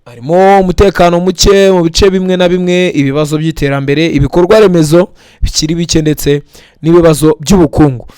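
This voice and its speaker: A man's voice: a little high, fairly even intonation, normal speed, a little mellow, clear, resonant tone, no room sound, clean studio sound. A male news presenter reading a report, then earnestly asking viewers to comment and giving blessings. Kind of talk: news narration and sign-off.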